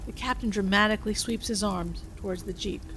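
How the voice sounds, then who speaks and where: A woman's voice: medium pitch, fairly quick, a bit bright. A woman narrator one would hear on a homemade script-reading podcast, laying out stage directions over faint noise.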